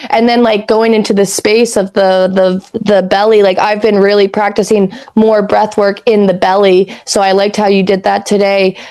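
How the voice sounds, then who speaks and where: A raspy-voiced woman in her thirties from Canada, co-hosting a podcast in rambling, casual chat that drifts into spiritual talk and easy swearing. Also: medium pitch, little pitch movement, moderate pace, few pauses, a little mellow. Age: 30s. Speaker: podcast co-host.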